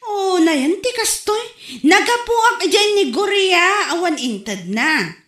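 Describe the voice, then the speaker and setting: A woman playing a shrill, nagging lender. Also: big pitch swings, slow pace, a bit bright, reverberant, heavily acted. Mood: exasperated. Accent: Ilocano. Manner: nagging, exasperated complaining. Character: pushy woman lender demanding repayment.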